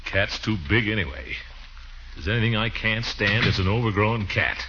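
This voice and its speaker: An actor playing a fussy, exasperated middle-aged uncle, pompous and blustery, in 1940s radio comedy style. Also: male voice, fairly low pitch, fairly even intonation, moderate pace, lightly acted. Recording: dull, noisy 1940s radio recording.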